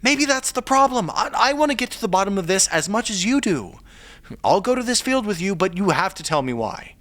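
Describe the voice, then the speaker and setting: An affable, nervous male sidekick in an audio drama. His pitch is very mobile and animated. He talks in hesitant, rambling runs with a wry, self-deprecating edge. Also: fairly quick, no echo. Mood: eager, sheepish.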